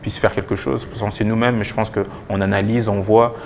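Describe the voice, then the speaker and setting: A young man answers as a studio interview guest in a very deep, flat voice. He speaks haltingly, repeating words as he goes. There is some gravelly fry in his tone and a faint noisy rumble behind him. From DR Congo and Belgium. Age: young adult. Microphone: lapel mic.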